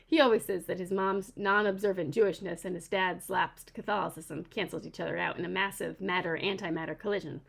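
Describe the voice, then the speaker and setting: A woman author reading her first-person children's novel aloud in an expressive storytelling style. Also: fairly low pitch, a little fast, creaky, few pauses. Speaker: author reading own book.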